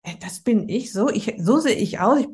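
A woman's voice: on the high side, expressive, normal speed, darkish tone, breathy edge. A woman, hosting a podcast and interviewing a guest in an upbeat, chatty way. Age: 50s. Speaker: podcast host.

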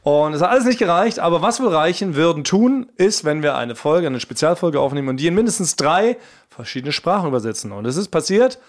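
A man's voice: on the high side, sing-song, brisk. A man hosting a casual chat among friends in a playfully melodramatic manner. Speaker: podcast host. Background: background music under the voice.

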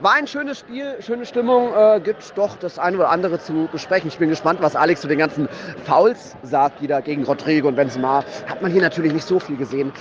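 A man's voice: on the high side, brisk, few pauses. A man giving a casual, chatty football report on site from a stadium, informal and joking. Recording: dull recording amid stadium crowd noise. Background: crowd and outdoor noise.

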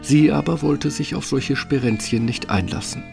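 A man narrates a fairy-tale audio play. His voice sits at a middle pitch with a creaky, gravelly grain, and faint plucked guitar plays behind him. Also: normal speed, a little mellow.

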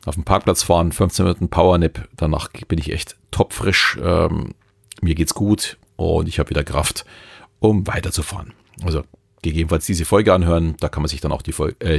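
A man with a Bavarian accent who talks in a loose, rambling way. His voice sits very low and carries a gravelly fry with a husky edge. The recording is close and dry. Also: no echo. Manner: rambling, loose and chatty with many fillers. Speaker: podcast host and hypnotist. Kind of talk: casual podcast talk.

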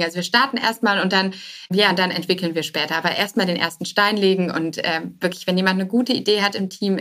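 A woman's voice: mid-range voice, a bit bright. A woman speaking as a startup founder and podcast guest, answering casually and anecdotally with English business terms mixed in.

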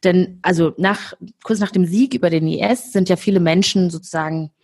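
A woman's voice: fairly low pitch, fairly quick, darkish tone. A woman from Rwanda hosting a podcast interview, asking curious questions and searching for words. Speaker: podcast host.

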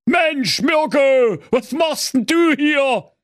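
A dim, blustering Saxon husband, played by a male narrator, pitched up and swinging loudly between squawks and grumbles. The sound is close and dry. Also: very animated, a little slow, no echo. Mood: astonished. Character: dim-witted grumbling husband, middle-aged man.

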